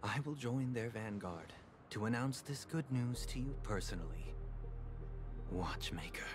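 Low, solemn young man. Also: expressive. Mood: solemn, menacing. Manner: formal, measured, grandiose. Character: solemn, aloof young man, pious winged leader. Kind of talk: dramatic video game voice acting. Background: orchestral game music.

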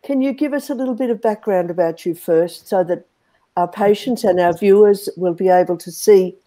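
Australian co-host in her sixties, hesitant, warm dark tone, video call. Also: mid-range voice, moderate pace. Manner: hesitant, searching for words. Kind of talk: hosting a video interview. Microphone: earbuds on a video call.

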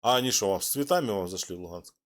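A man's voice: lowish voice, animated, very fast. A man from Donetsk hosting a chat-roulette talk, needling and mocking the person he talks to. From Donetsk, Ukraine. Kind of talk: argumentative casual chat.

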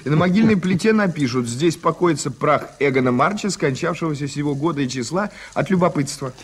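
Husky, eager newspaperman. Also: on the high side, animated, a little fast. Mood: mock-dramatic. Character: eager, curious male newspaper journalist, playfully dramatic. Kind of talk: early 1980s Soviet radio play acting.

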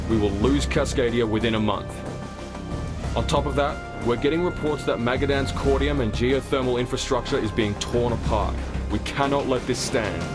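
A man's voice: medium pitch, natural voice. A man playing a formal, resolute military commander giving a scripted mission briefing in a game.

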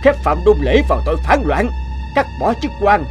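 An actor playing an aging, imperious cartoon emperor who gives commands in a royal manner. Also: male voice, high voice, very animated, brisk, a little mellow, soft background music. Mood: stern.

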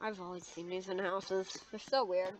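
An excitable gamer improvising a casual, dramatic video game roleplay. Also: medium pitch, moderate pace. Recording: dull lo-fi mic with clear background noise.

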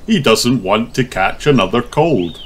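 A man's voice: medium pitch, expressive, measured pace, background noise. A man reading a children's storybook aloud as scripted narration. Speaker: storybook reader.

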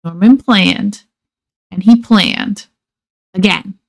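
A woman reading a children's picture book aloud expressively, with measured dramatic pauses and emphasis. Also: medium pitch, creaky. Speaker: storybook reader.

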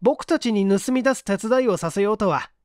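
男性が演じる高めの声の勇敢な青年. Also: male voice, high-pitched, moderate pace, no echo, clean studio sound, pitched above the performer's own voice, close to an everyday voice. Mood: bright, explaining. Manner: forceful, confident, explanatory. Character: brave, sharp-witted young male hero. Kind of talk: dramatic storybook reading.

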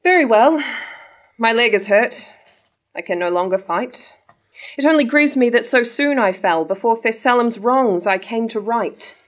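A woman's voice: on the high side, animated, unhurried, a bit bright. A young woman playing a proud, honourable nobleman devoted to his love, in a formal verse drama reading. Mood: pained, grateful. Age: young adult. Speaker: actor in a staged reading. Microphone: stand mic at lectern.

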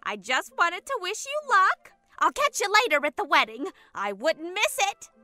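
Shrill, overexcited cartoonish seagull. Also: female voice, big pitch swings, normal speed, bright, forceful tone, dry recording, faint music behind, heavily acted. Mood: excited. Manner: squawky, exclamatory, overexcited. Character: excitable scatterbrained seagull friend, loud and cheery. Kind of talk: audio drama acting.

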